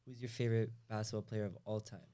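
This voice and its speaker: A man's voice: on the low side, level tone, fast, warm tone, clean tone. A man co-hosting a podcast, laid-back and steering the talk with questions. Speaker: podcast co-host. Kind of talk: casual chat.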